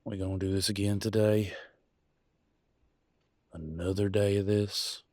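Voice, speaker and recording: A man playing a weary, embittered werewolf pack leader and husband in a dramatic audio roleplay, with a folksy, sarcastic, pausing delivery. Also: fairly low pitch, flat delivery, a little mellow, no room sound, clean studio sound, close to an everyday voice. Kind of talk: dramatic one-sided relationship roleplay audio.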